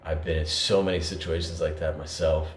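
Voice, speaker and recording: A deep-voiced man talks things through in an earnest, halting way, full of fillers and restarts. His voice echoes around the room, with a faint hiss underneath. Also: fairly even intonation. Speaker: podcast co-host. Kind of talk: reflective conversational talk.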